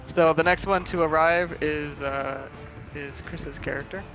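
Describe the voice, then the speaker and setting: A man's voice: fairly high pitch, steady tone, measured pace, over music, poor audio. A man running a tabletop game as game master, narrating scenes and calling for rolls casually.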